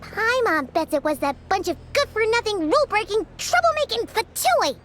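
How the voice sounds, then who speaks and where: A woman voicing a small, childlike fairy companion in a video game, squeaky, excitable and chatty, full of exclamations. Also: moderate pace, a little hiss or hum, heavily acted. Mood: surprised, indignant. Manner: exclamatory, speaks in third person. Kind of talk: animated video game voice acting.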